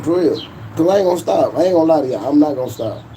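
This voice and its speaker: A man's voice: on the high side, lively intonation, rapid-fire, warm tone, slight background noise. A man, hosting a casual livestream in a boastful, profane, laughing way. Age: 40s. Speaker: YouTube livestream host.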